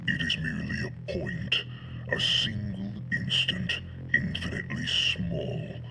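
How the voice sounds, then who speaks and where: An actor playing a grandiose, coldly mocking undying galactic tyrant in an audio drama, pompous and theatrical, savoring each phrase. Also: male voice, low voice, takes it slowly, creaky, music in the background, heavily acted. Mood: cold, smug. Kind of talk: anime-style audio drama acting.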